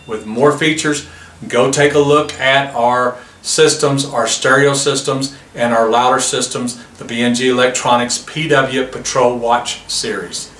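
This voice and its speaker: A man's voice: medium pitch, fairly even intonation, measured pace, fairly bright tone. A man in his fifties explaining a product in a demonstration style. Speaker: product presenter. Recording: roomy, distant mic, faint background noise.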